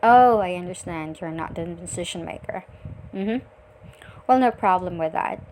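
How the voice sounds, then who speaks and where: A woman from the Philippines with a Filipino accent, speaking politely and deliberately as a virtual assistant on a scripted sales call. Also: fairly low pitch, a little mellow, a little hiss or hum. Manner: polite, deliberate, frequent acknowledging murmurs.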